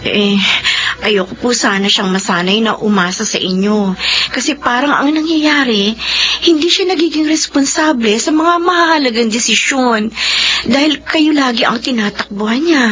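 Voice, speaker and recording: High-pitched, emotional Filipino wife played by an actress. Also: female voice, normal speed, fairly bright tone, highs cut off, a little hiss or hum, lightly acted. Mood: respectful, hesitant. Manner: earnest, pleading, emotionally strained. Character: aggrieved, long-suffering wife and daughter-in-law, pleading and emotional. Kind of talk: Filipino radio drama acting.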